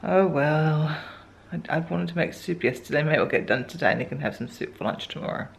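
An Australian woman in her forties chatting through a daily home vlog, rambling with soft ums and sounding a little congested. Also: low-pitched, brisk, vocal fry, a little hiss or hum. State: congested, getting a cold. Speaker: vlogger. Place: living room couch at home. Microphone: handheld camera held close.

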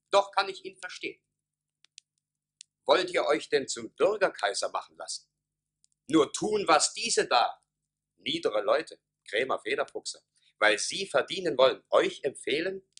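An actor playing a haughty, scheming court minister in a radio play, speaking in a rhetorical, condescending manner. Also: male voice, on the high side, fairly bright tone, breathy edge, exaggerated voice.

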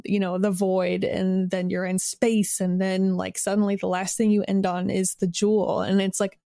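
A woman talking casually as an interview guest, thoughtful and halting, a writer discussing craft. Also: medium pitch, gravelly, a little mellow, dry recording, clean studio sound. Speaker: audio drama writer, podcast guest.

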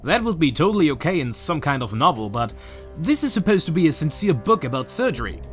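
A young man in his twenties with a German accent, earnestly talking through a game theory from his bedroom, with a few filler pauses. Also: on the high side, big pitch swings, faint music behind. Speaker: YouTuber. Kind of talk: game theory video commentary. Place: small bedroom with shelves, indoors.

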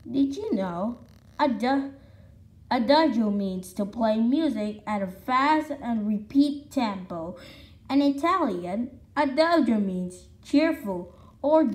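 Female read-along presenter for a picture book, lively intonation, occasional stumbles, echoey room. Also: fairly high pitch. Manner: stumbles over words and restarts. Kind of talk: reading a children's picture book aloud. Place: indoor room at home with wooden floor.

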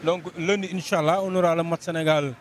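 Senegalese reporter, roadside vox pop, higher voice, faint traffic. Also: male voice, fairly even intonation. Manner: brisk questions with frequent hums of assent. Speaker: street reporter. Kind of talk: street vox pop interview. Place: busy roadside outdoors. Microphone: handheld mic.

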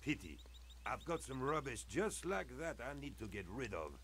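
An actor playing a grumpy, sarcastic middle-aged street sweeper in a point-and-click adventure game, talking dryly with a gruff edge. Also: male voice, mid-range voice, very animated, moderate pace, fairly bright tone, slight background noise.

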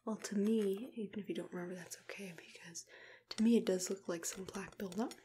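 A young woman whispering gently and soothingly close to the mic, in an ASMR roleplay as a dentist. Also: medium pitch, steady tone, a little fast, slight background noise. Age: young adult. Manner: soothing, gentle, hesitant murmured phrasing. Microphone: close to the microphone.

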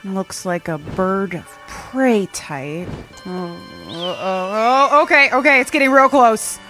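Wry, offbeat young adventurer played by a woman, medium pitch, casual and blunt, audio drama. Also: slow, pushed and bright, soft background music. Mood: observant.

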